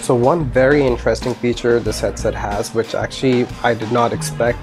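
A man in his thirties giving a casual product review in a conversational way, with asides. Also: medium pitch. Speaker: gear reviewer, indie filmmaker. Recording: music under the voice. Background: instrumental music with guitar.

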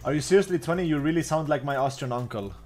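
A man's voice: a little high, quick pace, darkish tone, faint music behind. A man in his twenties streaming a game live, thinking aloud in a profane, offhand way. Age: 20s. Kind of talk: live gameplay commentary. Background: faint background beat.

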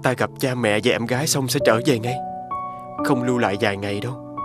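A man voicing a homesick, courteous young scholar in an animated fairy tale, polite and earnest. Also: mid-range voice, brisk, over loud music, close to an everyday voice. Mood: earnest, longing.

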